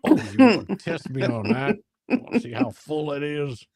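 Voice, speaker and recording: A man's voice: on the high side, sing-song, normal speed, a crowd in the background. A man playing a cocky, flirtatious guy in a romance drama, drawling and teasing as he speaks. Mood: teasing, flirty.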